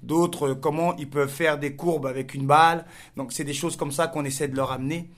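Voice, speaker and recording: A man from France with a French accent, a soccer coach answering interview questions hesitantly, with many pauses and fillers. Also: a little high, steady tone, fairly bright tone.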